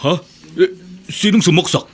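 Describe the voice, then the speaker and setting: An actor playing an irritable, secretive husband in a radio drama. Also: male voice, sits high, normal speed, slightly rough. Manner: irritable, curt.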